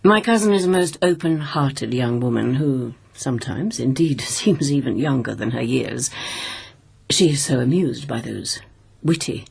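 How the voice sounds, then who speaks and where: Very husky, low-voiced haughty princess. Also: female voice, expressive, a little slow, a little mellow, heavily acted. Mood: silkily sardonic. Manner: imperious, formal, cutting. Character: haughty, menacing imperial princess and protective mother. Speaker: actress in a radio drama. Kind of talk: radio drama acting.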